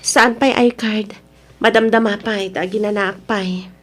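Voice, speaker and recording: A woman's voice: medium pitch, steady tone, unhurried, fairly bright tone. A woman acting in an Ilocano radio drama as a lovestruck, stubborn adult daughter and single mother, with an Ilocano accent. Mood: affectionate.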